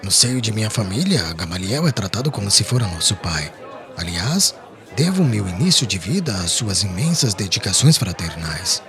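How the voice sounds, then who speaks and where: An actor playing a talkative, respectable older merchant in dramatized audiobook dialogue, speaking in an earnest and formal way. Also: male voice, lowish voice, big pitch swings, normal speed, music in the background. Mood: solemn, devoted. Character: talkative, respectable older merchant worried about his brother.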